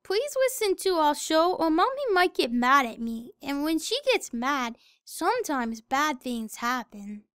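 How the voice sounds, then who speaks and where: A woman's voice: high-pitched, very animated, unhurried, hardly any pauses, a bit bright, no room sound, studio quality, pitched above the performer's own voice, exaggerated voice. A woman voicing a distrustful six-year-old boy with a menacing, childlike delivery for a gothic audio drama. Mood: eerie, pleading. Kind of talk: audio drama character acting.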